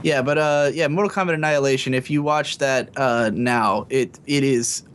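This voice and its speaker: A man's voice: medium pitch, normal speed, background noise. A man in his twenties co-hosting a podcast, talking casually and rambling with lots of fillers. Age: 20s. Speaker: podcast co-host. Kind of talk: casual chat.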